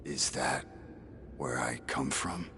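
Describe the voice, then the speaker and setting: A man's voice: extremely low pitch, slow pace, gravelly, over music, heavily acted. A man playing a brooding, driven warrior in a video game cutscene, speaking tersely and dramatically. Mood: bewildered. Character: brooding, driven adult male warrior hunting chaos.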